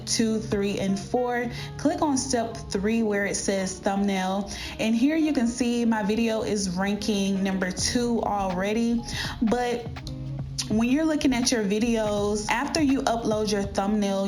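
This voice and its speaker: A woman with a slightly raspy voice talking casually to viewers, explaining tips like an online creator. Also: medium pitch. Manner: addresses viewers directly, step-by-step explaining. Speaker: YouTuber giving channel growth tips. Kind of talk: casual instructional vlog talk to viewers. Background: background music.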